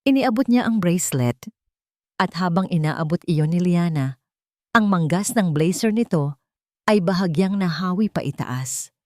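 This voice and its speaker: A woman's voice: low-pitched, darkish tone, no room sound, clean studio sound. A woman reading a scripted dramatic story as narrator.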